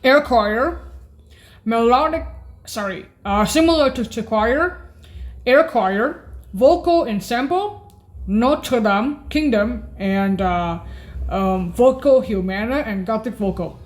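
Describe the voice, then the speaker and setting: A woman with a fairly high voice presents keyboard sounds extremely slowly. She is hesitant and deliberate, naming items one at a time in an informal, halting demo style. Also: lively intonation.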